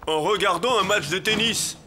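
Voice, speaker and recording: A man voicing a young animal paramedic in a children's cartoon, brisk, eager and urgent. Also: high-pitched, bright, forceful tone, a little hiss or hum.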